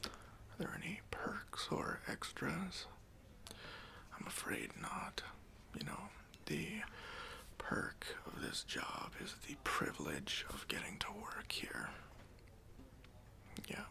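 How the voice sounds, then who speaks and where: A man playing a stingy, demanding boss in an ASMR roleplay, speaking in a breathy, hesitant whisper with condescending politeness, close to the microphone. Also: mid-range voice, wildly swooping pitch, takes it slowly, long pauses, pushed and bright, exaggerated voice. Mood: condescending, fussy. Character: stingy, demanding male boss giving a job interview.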